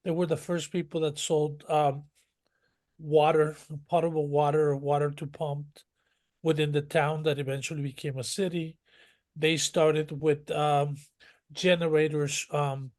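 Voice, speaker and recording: A man speaks as an interview guest. His voice sits fairly high for a man. He winds through his memories in long, detailed stretches, doubling back and restarting his sentences as he goes. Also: little pitch movement, moderate pace, darkish tone. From northern Mexico. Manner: rambling, detailed storytelling with many restarts. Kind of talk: conversational interview.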